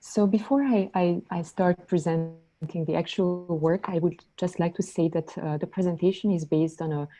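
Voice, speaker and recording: A woman's voice: fairly low pitch, fairly even intonation, normal speed, few pauses, mellow, poor audio. A woman researcher from Hungary presenting her paper at an online conference, speaking composedly and fluently. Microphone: computer mic on a video call.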